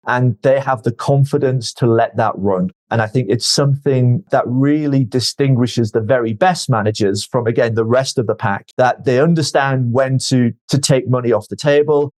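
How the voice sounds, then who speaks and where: A man being interviewed as a venture investor, explaining his points in a measured way and often repeating words as he starts a sentence. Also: medium pitch, darkish tone. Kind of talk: podcast interview answers.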